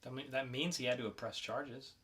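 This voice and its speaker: A man's voice: mid-range voice, fairly even intonation, a little fast, a bit bright. A man co-hosting a podcast in casual banter, with a playful game-show announcer flair and some swearing. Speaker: podcast co-host.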